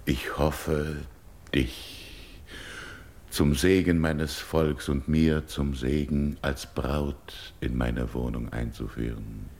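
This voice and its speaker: Grave, deep-voiced grieving old king. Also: fairly even intonation, creaky, darkish tone, roomy sound, a little hiss or hum, full character voice. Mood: solemn, restrained. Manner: solemn, measured, declamatory. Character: grieving, proud older king, childless widower-like ruler seeking a bride. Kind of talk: classical verse radio drama.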